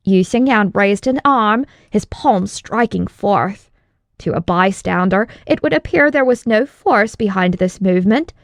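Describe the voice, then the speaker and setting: A woman reading scripted fantasy narration as an audiobook narrator, in a measured, dramatic storytelling manner. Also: mid-range voice, dry recording, clean studio sound.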